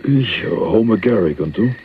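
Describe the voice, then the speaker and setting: An actor playing a shrewd, sardonic small-time jailbird in a German radio crime drama, teasing and probing. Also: male voice, lowish voice, animated, unhurried. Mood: curious, dry. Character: shrewd, needling small-time male jailbird, broke and worldly-wise. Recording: dull lo-fi radio recording, faint noise.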